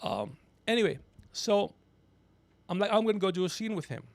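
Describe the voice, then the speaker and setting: A gregarious man hosting a podcast, telling rambling comedic stories with animated, teasing asides. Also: high-pitched, normal speed, no echo. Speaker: podcast host. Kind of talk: rambling comedic storytelling on a livestream.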